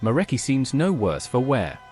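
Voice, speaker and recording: A synthetic voice playing a calm, polite male hero in a video game, giving plain dialogue replies. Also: medium pitch, normal speed, soft background music. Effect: text-to-speech voice.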